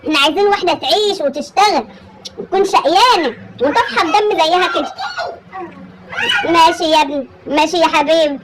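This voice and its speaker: A woman's voice: extremely high pitch, fairly quick, pauses often, very noisy. A woman with an Egyptian accent playing a pushy rural mother, coaxing and insisting as she arranges her grown son's marriage over a one-sided phone call, calling him "my son" again and again. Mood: insistent. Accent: Egyptian Arabic.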